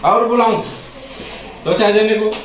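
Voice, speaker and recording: A man's voice: high-pitched, fairly even intonation, measured pace, far from the mic. A drunk male school teacher talking back defiantly and repeating himself in a heated confrontation. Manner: defiant, challenging, repetitive. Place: inside a school. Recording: echoey, off-mic, music laid over.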